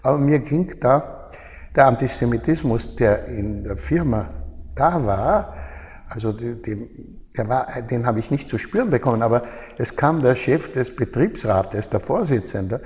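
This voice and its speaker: An older man from Austria speaks with an Austrian accent at a middling pitch. He reflects as an interviewee, halting and reformulating his phrases. His voice carries the long reverb of a hall. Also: expressive, a little mellow. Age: older, over 70. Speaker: interviewee, former journalist.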